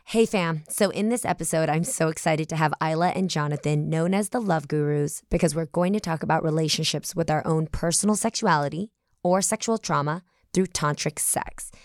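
A bubbly woman in her forties hosts a podcast with a relaxed, chatty energy and frequent laughs. Her voice sits fairly low for a woman and sounds close and dry, with no room sound around it. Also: normal speed, few pauses, no echo. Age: 40s. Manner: bubbly, animated, laughs often. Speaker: podcast host. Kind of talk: casual interview chat.

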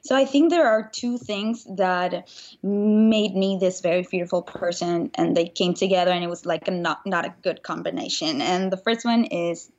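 A woman from Venezuela, a guest telling her story in an earnest, animated way during a podcast interview. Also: lowish voice, normal speed, dull audio. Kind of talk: conversational interview.

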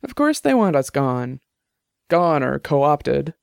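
A man voicing a tipsy young woman musician who argues loudly and derisively in a bar, in an audiobook. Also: low voice, very animated, slow, gravelly, no room sound, studio quality, heavily acted. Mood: combative. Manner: loud, combative, derisive.

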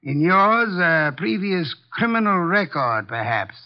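Irritable armchair sleuth, pompous older man, creaky voice, theatrical diction, dull vintage radio sound. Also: fairly high pitch, big pitch swings, a little slow. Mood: lofty, brisk. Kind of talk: 1950s radio drama acting.

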